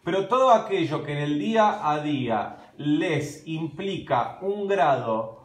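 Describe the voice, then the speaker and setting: A man's voice: a little high, unhurried. Chatty Argentine man in an echoey kitchen. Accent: Argentine Spanish. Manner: chatty, animated, gesturing while talking. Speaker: live stream host. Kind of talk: casual live stream chat. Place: home kitchen at night, indoors. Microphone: phone camera at arm's length. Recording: roomy, echoey room.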